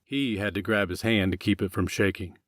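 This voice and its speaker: A male audiobook narrator reads scripted fiction in a fairly low voice. The recording is dry, with hardly any room sound. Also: steady tone, a little fast, dry recording.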